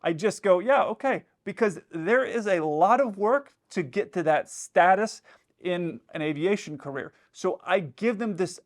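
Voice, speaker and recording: Higher-pitched man giving casual pilot commentary. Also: lively intonation, measured pace. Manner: conversational, acts out imagined dialogue. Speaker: host, airline pilot commentator. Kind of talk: casual explanatory commentary to camera.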